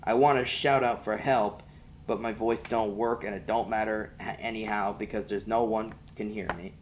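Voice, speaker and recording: A man's voice: on the low side, fairly even intonation, normal speed, a little hiss or hum. A man reading a first-person novel aloud as an amateur audiobook narrator, in folksy storytelling style.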